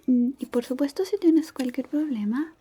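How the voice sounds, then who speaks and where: A woman doing a soft-spoken, half-whispered ASMR roleplay as a shy, caring high school classmate, gentle and hesitant. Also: normal speed, husky. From Chile.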